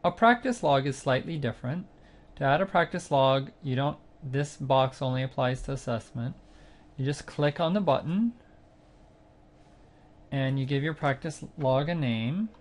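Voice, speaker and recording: A man narrating a screencast tutorial, explaining a music training website step by step in a plain, unhurried way with occasional fillers. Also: medium pitch. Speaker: site instructor demonstrating software.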